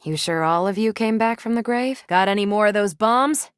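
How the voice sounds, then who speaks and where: A woman's voice: mid-range voice, normal speed, smooth, dry recording, natural voice. A woman voicing a confident, wry superheroine soldier in a video game, with a dry, teasing, self-assured delivery. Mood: steady, conversational.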